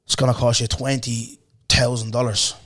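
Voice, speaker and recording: A man, hosting a podcast in loose, slangy chat, his voice hoarse. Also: medium pitch, moderate pace. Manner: loose, animated storytelling with lots of slang and repeated "bro". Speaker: podcast host. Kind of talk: casual chat.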